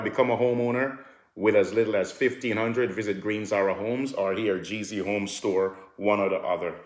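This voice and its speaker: A middle-aged man with a Jamaican accent, pitching home improvement deals in a relaxed, chatty way, peppered with "all right". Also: roomy sound. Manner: relaxed, repetitive "all right" fillers, sips a drink while talking. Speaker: home improvement company promoter. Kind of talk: casual promotional talk to camera.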